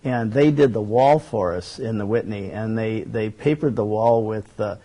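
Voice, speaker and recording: A man as a guest on a talk show, explaining his work earnestly and at a measured pace, with frequent fillers and restarts. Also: lowish voice, dark tone, poor audio. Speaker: guest, documentary network director.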